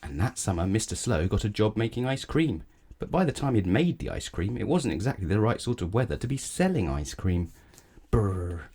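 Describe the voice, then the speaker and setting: Male children's narrator: deep, soft-toned, measured, very expressive read-aloud storytelling. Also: husky. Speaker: storybook reader.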